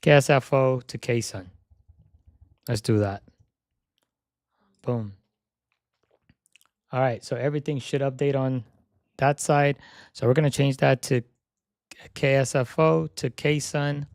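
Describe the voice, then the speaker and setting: A young man chats casually on a live stream while setting up a flight, thinking aloud as he goes. His voice is slightly hoarse with a gravelly edge, and the mid-pitched delivery sounds close and dry, with no room around it. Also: darkish tone, no room sound. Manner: repeats "yep" while checking items.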